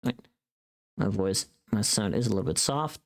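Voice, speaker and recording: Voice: low voice, normal speed, vocal fry. A livestream host chatting casually while working, informal and sweary, often thinking aloud.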